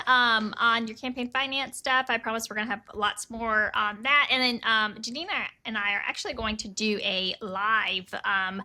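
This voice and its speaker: A woman's voice: on the high side, bright, forceful tone. An American woman chatting casually as a podcast co-host.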